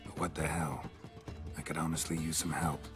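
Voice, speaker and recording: An actor playing a stoic, world-weary monster hunter in a game, gravelly, hushed and deadpan. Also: male voice, rumbling low, normal speed. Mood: reluctant, grave. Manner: terse, deadpan, clipped. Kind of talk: understated video game dialogue. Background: background music.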